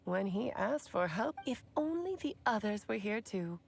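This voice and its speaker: A woman's voice: medium pitch, big pitch swings, normal speed, gravelly, soft background music. A woman voicing a playful, self-assured witch in a video game, breezy and teasing with theatrical, grand phrasing. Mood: amused, teasing. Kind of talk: dramatic video game acting.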